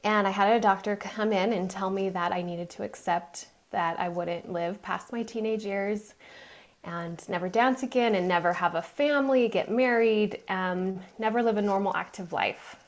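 A woman's voice: mid-range voice, normal speed. A woman guest on a podcast, telling her life story in an earnest, emphatic way. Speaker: guest, mind coach. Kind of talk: interview storytelling.

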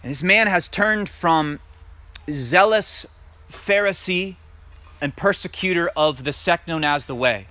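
A man preaching a sermon and reading scripture aloud. He talks emphatically, puts direct questions to his listeners, and builds to loud peaks. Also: fairly high pitch, measured pace, dry recording. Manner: asks the congregation direct questions. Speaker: messianic congregation teacher.

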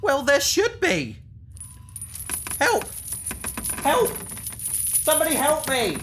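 A woman's voice: sits high, huge pitch swings, takes it slowly, a bit bright, a little hiss or hum, full character voice. A woman playing an indignant, pleading captive in a science fiction audio drama, speaking in an earnest, protesting way. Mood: alarmed, indignant. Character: indignant, pleading female captive victim on operating table.

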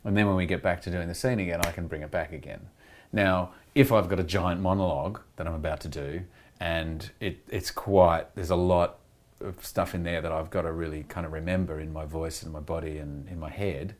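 A man's voice: sits low, fairly even intonation, fairly quick. A middle-aged Australian man talking candidly in an interview, rambling with frequent restarts and "you know" fillers. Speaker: actor being interviewed. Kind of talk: reflective conversational interview.

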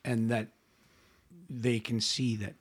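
A man hosting a podcast interview, giving short encouraging replies and asking gentle questions. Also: medium pitch, flat delivery, slow pace, slightly rough. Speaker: podcast host. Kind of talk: casual interview.

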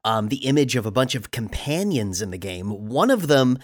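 A man co-hosting a fan podcast in casual chat, animated and chatty, with lots of fillers. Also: mid-range voice, normal speed. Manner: animated, many fillers, laughs easily. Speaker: podcast co-host.

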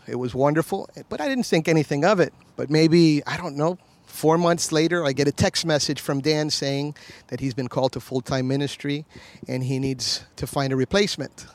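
A man's voice: fairly high pitch, no room sound. A man in his fifties from Miami, a pastor telling his story as a podcast guest in an earnest, sincere way. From Miami, USA. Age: 50s. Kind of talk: conversational interview.

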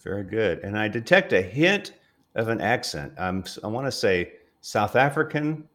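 A man's voice: on the low side, big pitch swings, normal speed, fairly bright tone. A man hosting an interview in casual chat, with an informal, jokey way of talking. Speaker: interview host. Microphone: online call.

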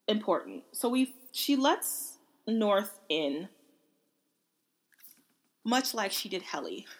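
A woman talks as a book reviewer hosting her own podcast. Her delivery is casual and conversational, moving between retelling and analysis. She sometimes slips into informal renditions of what characters say. Her pitch sits in a middle range for a woman. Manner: conversational, acts out quotes informally.

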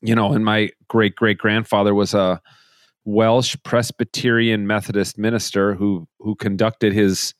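A man hosting a podcast interview who asks questions in a curious, eager way and reacts quickly. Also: lowish voice, little pitch movement, dry recording, clean studio sound. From family from southwestern Minnesota. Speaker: podcast host. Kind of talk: conversational interviewing.

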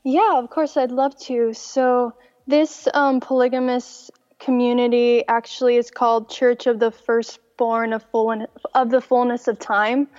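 A young woman from Mexico tells her story with cheerful ease and a slightly higher voice. The audio is muffled and lo-fi, with a long, lingering reverb behind her. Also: little pitch movement, unhurried, darkish tone. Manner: cheerful, laughs often. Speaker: interview guest. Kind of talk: personal testimony in conversation.

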